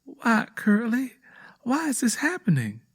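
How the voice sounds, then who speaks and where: A man's voice: very high-pitched, wildly swooping pitch, measured pace, fairly bright tone, breathy edge, voice pushed up, heavily acted. A man voicing an earnest, anxious, devout young wife with an American Southern accent and a pleading rural way of talking, in a narrated story. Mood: uneasy.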